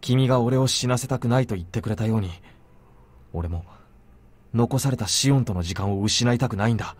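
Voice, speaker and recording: A man's voice: medium pitch, very fast, natural voice. An actor playing an earnest, determined young man, solemn and devoted, in a Japanese visual novel. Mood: desperate, earnest. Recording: background music behind the voice.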